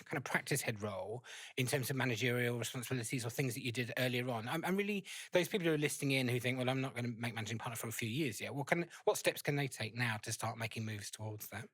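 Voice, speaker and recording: A man hosting a podcast, talking briskly with a bright, tense tone. He is friendly and laughs easily, and the recording is close and dry. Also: mid-range voice, husky, no echo. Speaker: podcast host. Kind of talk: podcast interview.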